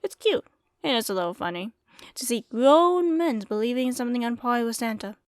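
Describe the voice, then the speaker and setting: An easygoing desert bartender and former singer, acted by a woman, speaks in a fairly high voice, close and dry on the mic. She is wry and self-deprecating, chatting one-to-one as in an ASMR roleplay. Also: brisk, no echo, lightly acted. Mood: amused, teasing. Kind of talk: ASMR roleplay acting, intimate one-sided conversation.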